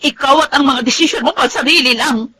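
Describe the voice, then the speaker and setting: A woman's voice: high-pitched, fairly quick, sharp tone. A woman acting a weary, reproachful wife in a melodramatic radio drama. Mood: hurt, reproachful.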